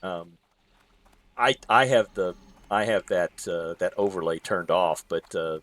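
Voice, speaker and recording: A man chatting on a gaming stream, hesitant and halting, with many pauses and uh's. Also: low voice, a bit bright, dry recording. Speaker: streamer co-host. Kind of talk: casual gaming stream chat.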